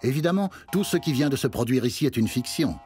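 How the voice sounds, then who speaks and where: An actor playing a wry narrator of a darkly satirical radio fiction, in an ironic, theatrical scripted delivery. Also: male voice, mid-range voice, expressive, fast, a little mellow, faint music behind, close to an everyday voice.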